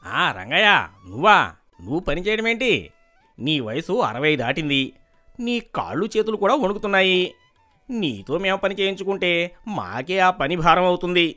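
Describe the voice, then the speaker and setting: A man voicing a haughty rich village merchant, loud, scornful and dismissive, in a moral story. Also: high voice, very animated, normal speed, soft background music, full character voice.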